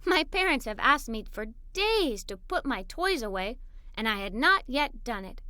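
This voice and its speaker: A woman plays an eager, imaginative little girl narrating her own storybook adventures. The voice is high and bright, with animated, swooping intonation. The delivery is expressive and enthusiastic, made for young listeners. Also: no echo, clean studio sound, heavily acted. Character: Molly, excited adventurous little girl telling her day. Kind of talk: children's read-aloud story narration.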